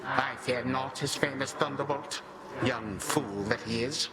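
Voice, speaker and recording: A man's voice: medium pitch, lightly acted. Man as a condescending old titan villain. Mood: smug menace. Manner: condescending, unhurried. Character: menacing old titan father, condescending and contemptuous. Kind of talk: video game villain acting. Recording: loud noisy ambience behind.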